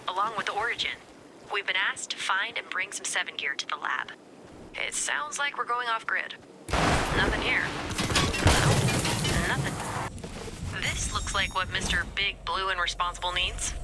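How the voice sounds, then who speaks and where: A woman's voice: medium pitch, close to an everyday voice. Woman acting an urgent resistance leader. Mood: businesslike. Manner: urgent, clipped, commanding. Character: commanding female resistance leader, urgent and focused. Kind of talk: scripted video game voice acting. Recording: music under the voice.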